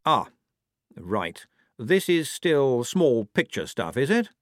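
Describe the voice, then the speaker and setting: A man's voice: medium pitch, big pitch swings, slow pace, no room sound, studio quality, voice pushed up. A man doing a quavering, fussy young officer. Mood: prim.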